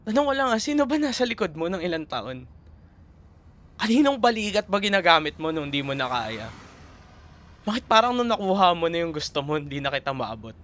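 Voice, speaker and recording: Man acting expressive young Filipino boyfriend, higher-pitched. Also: a little fast. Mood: angry, sarcastic. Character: young Filipino boyfriend, teasing, tender, hurt and proud. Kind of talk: ASMR boyfriend roleplay drama.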